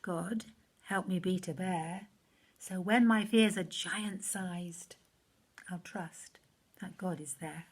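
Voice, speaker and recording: Woman reading as a slow, earnest young shepherd boy. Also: fairly low pitch, slightly rough, heavily acted. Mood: earnest, resolute. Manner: measured, rhythmic, earnest, trailing off softly. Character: brave, devout young shepherd boy, praying and resolute.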